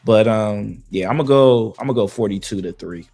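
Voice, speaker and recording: A man co-hosting a podcast, talking sports in a loose, slangy way with a voice that is going hoarse. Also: lowish voice, moderate pace. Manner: loose, slangy, animated banter with fillers. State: losing his voice. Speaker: podcast co-host. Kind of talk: casual sports talk.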